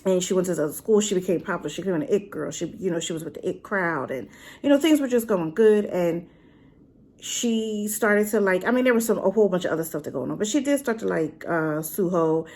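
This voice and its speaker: Chatty woman with a lowish voice. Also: brisk. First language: not Korean. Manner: chatty, rambling, lots of fillers and asides; animated, with rhetorical questions. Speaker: drama reviewer. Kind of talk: casual spoken review.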